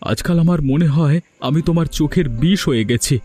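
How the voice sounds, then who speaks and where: A man's voice: a little high, brisk, warm tone, close to an everyday voice. A man voicing a poor, put-upon young village husband in a cartoon, pleading and defensive. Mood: hurt, resigned. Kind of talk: scripted cartoon dialogue. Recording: music behind the voice.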